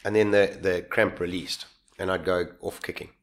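A man with a fairly low voice tells his story in a relaxed interview. He talks animatedly, stumbling and restarting mid-sentence and laughing at himself now and then. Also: normal speed. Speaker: guest telling a survival story.

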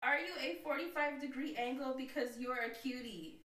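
A woman's voice: sits high, normal speed, noisy background. A woman who grew up in Jamaica co-hosting a casual podcast chat, teasing and giggling often. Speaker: podcast co-host. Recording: echoey room, voice a bit distant.

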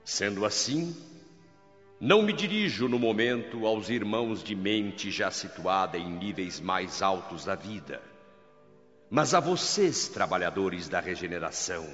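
A man playing the solemn governor of a spirit colony, speaking in a grave, measured, emphatic way in a radio drama, over soft music. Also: low voice. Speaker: governor preaching to workers. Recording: dull radio-drama recording with long reverb.

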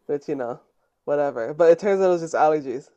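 A young woman co-hosts a laid-back podcast, talking in a playful, teasing way. She giggles often and trades quick banter with her co-host. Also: low voice, expressive, warm tone, highs cut off. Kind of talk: casual chat.

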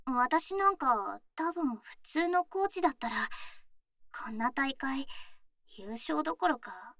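A woman's voice: high voice, slow, dry recording, studio quality, heavily acted. A woman playing a cheerful, scatterbrained young athlete girlfriend, affectionate and teasing, in an intimate voice drama. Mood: grateful, earnest. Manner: playful, bubbly, expressive. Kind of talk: intimate situation voice drama, acted.

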